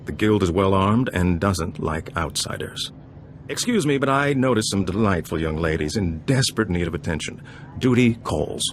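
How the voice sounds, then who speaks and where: An actor playing a suave older gambler and information broker in a video game, smooth-talking, theatrical and pleased with himself. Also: male voice, low-pitched, animated, moderate pace, slight background noise. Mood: smooth, measured. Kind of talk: video game dialogue acting.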